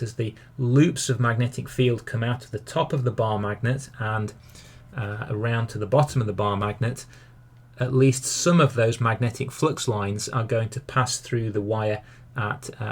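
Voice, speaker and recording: Male physics teacher explaining step by step. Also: mid-range voice. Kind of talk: explanatory lesson narration over a demonstration video.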